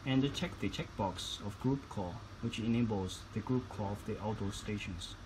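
A man's voice: on the low side, moderate pace, background noise. A man giving instructional tutorial narration as a product demonstrator, phrasing each step carefully.

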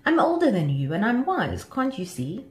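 A woman's voice: medium pitch, huge pitch swings, moderate pace, reverberant, pitched above the performer's own voice. A woman voicing a vain, bossy young female butterfly in a children's story, haughty, scornful and theatrical.

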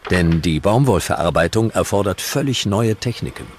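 A man narrating a scripted historical documentary in measured, dramatic short sentences. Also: sits low, lively intonation, noisy background. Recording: music behind the narration.

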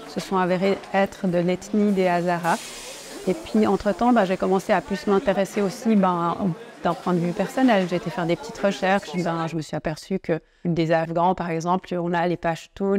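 Woman, slightly low voice, reflective documentary interviewee, pauses a lot. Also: darkish tone. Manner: thoughtful, hesitant with many pauses.

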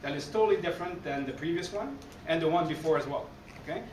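A man with a Québécois French accent talks energetically to a room of coaches. His voice sits a little high, and he often tosses in "okay?". He is recorded from far off in a roomy, echoey hall with noise underneath. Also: quick pace. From Quebec City, Canada. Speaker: baseball coach giving a conference talk. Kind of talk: conference presentation. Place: dark conference hall, speaking in front of a screen. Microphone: room recording from a far camera. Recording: echoey hall, heard from afar, noisy.

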